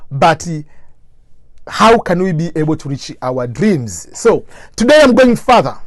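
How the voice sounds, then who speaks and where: Higher-voiced Tanzanian man, motivational speaker. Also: dry recording, clean studio sound. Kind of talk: motivational talk to camera.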